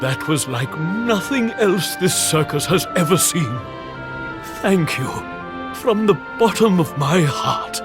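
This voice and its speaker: A grandiose, big-hearted ringmaster from a video game speaks over loud music. His voice sits high for a man. He takes his time over florid phrases like a born showman. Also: animated, takes it slowly. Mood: sincere, reflective. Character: portly, theatrical, warm-hearted male circus ringmaster. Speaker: circus ringmaster. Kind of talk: video game cutscene acting.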